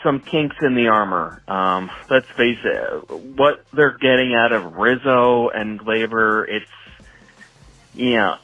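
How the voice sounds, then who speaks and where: A man calling in as a sports fan, leaving a casual voicemail in halting, short phrases on a lo-fi recording. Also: mid-range voice, no echo, faint music behind.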